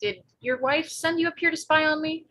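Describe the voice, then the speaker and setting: A woman plays a sarcastic pregnant teenager in a screenplay read. Her voice sits a little high and rings very bright and forward. She is blunt and slangy, with a flippant deadpan edge. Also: fairly quick. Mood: cheeky. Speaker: actor in a live table read.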